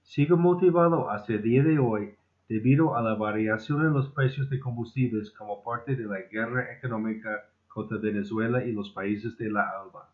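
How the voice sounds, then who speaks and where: A man who speaks as a second-language speaker, reading a formal scripted lecture in a deliberate, slightly stumbling way. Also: lowish voice. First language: not Spanish (Spanish is his second language). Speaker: amateur inventor presenting his own proposal. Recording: muffled, phone-like narrow band.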